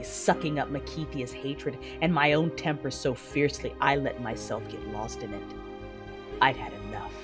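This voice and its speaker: An actress playing a vengeful, anguished Sith warrior, narrating her own tale in an intense, brooding, theatrical manner for an audio drama. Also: a bass voice. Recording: loud music behind the voice. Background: loud dramatic music.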